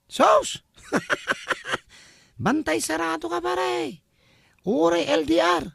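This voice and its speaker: A man's voice: very high-pitched, drawn-out words, vocal fry, fairly bright tone, dry recording, studio quality, exaggerated voice. A man voicing a teasing, gossipy male buddy with jeering exclamations in an Ilocano comedy audio drama. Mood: amused teasing.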